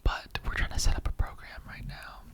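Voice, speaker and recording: A performer voicing a chatty, earnest immortal skeleton interrogator in a whispered ASMR roleplay, rambling conversationally with halting asides. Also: close to an everyday voice. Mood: earnest, curious.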